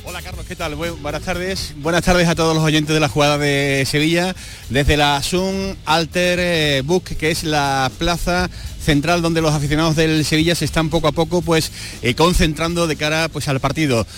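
Chatty male radio reporter, fairly high voice. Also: fairly even intonation, moderate pace, fairly bright tone, faint music behind. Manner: chatty, many filler sounds and restarts. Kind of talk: live on-location sports report. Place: outdoor town square among fans.

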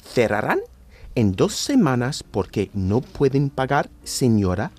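A man's voice: medium pitch, big pitch swings, a little mellow, no room sound. An actor playing a bitter, greedy old businessman, a mocking and menacing villain in a radio play. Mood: cold, menacing. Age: older. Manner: mocking, menacing, slow emphatic delivery.

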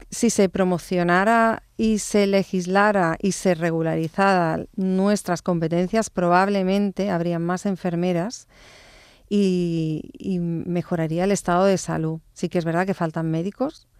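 A woman in her fifties, a nurse, talking thoughtfully in an interview, with hesitant restarts and phrases that trail off softly. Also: fairly low pitch, clear, resonant tone, no room sound, studio quality. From born in England, raised in Barcelona. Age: 50s. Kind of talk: conversational interview.

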